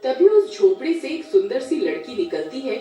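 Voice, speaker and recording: A woman's voice: high-pitched, very animated, moderate pace, warm tone. A woman storyteller reading a scripted horror tale. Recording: echoey, distant, music underneath.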